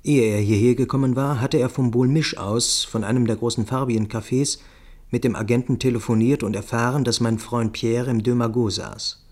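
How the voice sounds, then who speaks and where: A man's voice: mid-range voice, fairly even intonation, moderate pace, darkish tone. A man reading scripted, literary first-person narration for a radio play.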